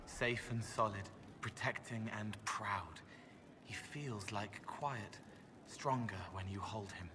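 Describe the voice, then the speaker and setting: Slow, dreamy, gentle young man. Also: fairly low pitch, noisy background. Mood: dreamy, tender. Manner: halting, dreamy fragments. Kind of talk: video game voice acting.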